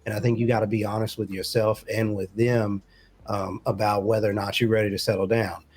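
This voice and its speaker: A man co-hosting a talk show, giving relationship advice in a measured, explanatory way with casual phrasing. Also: fairly low pitch, little pitch movement, a little mellow, slightly rough.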